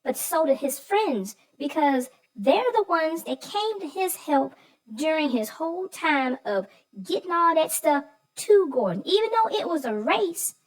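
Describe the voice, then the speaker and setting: A woman with a distinctly high voice, co-hosting a relaxed discussion of a children's story. She is agreeable in conversation and leans on her words for emphasis. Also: poor audio. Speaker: co-host. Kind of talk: casual chat about a children's story.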